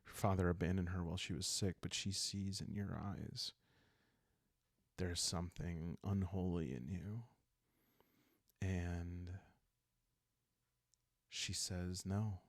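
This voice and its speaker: A man narrating a solo roleplaying story in a calm, hushed ASMR style, halting with deliberate pauses, close to the microphone. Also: sits low, no room sound. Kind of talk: calm ASMR narration of a dark journaling game.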